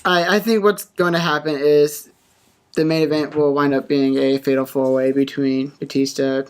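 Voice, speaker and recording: Mid-pitched podcast panelist talking casually. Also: level tone, normal speed, clear, resonant tone. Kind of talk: casual wrestling prediction talk.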